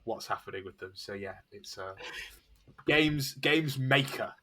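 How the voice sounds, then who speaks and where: Rambling young man, mid-pitched. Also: expressive, a little slow, sharp tone, slight background noise. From England, East Midlands. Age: 20s. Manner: rambling and self-correcting, with frequent "uh" and "like". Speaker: guest game designer. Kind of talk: casual podcast interview.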